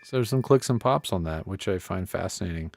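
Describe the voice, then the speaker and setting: A man's voice: low-pitched, lively intonation, brisk, vocal fry, over loud music. A man presenting a casual hands-on audio gear tutorial, thinking aloud in a conversational way on a close studio microphone. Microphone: close studio podcast microphone.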